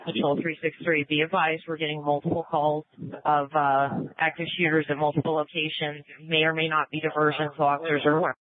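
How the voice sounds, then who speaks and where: A police incident commander giving measured orders and updates over a radio. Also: medium pitch, little pitch movement, dry recording. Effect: police radio transmission. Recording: tinny narrow-band police scanner audio.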